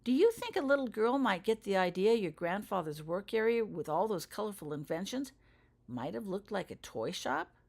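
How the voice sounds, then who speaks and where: Earnest young schoolgirl, voiced by a woman reader, very lively pitch. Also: moderate pace, creaky. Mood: hesitant, probing. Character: earnest, probing young schoolgirl witch, a little evasive.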